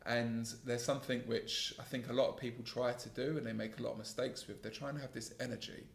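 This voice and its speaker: Gravelly male podcaster in his 30s, mid pitch, calm and earnest, reflective solo monologue, echoey home setup. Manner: calm, earnest, self-critical, with frequent restarts. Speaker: podcast host and sales trainer.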